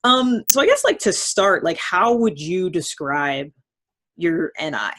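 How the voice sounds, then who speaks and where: Lower-pitched woman hosting a casual interview. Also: big pitch swings, a little slow, fairly bright tone. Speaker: host interviewing a guest.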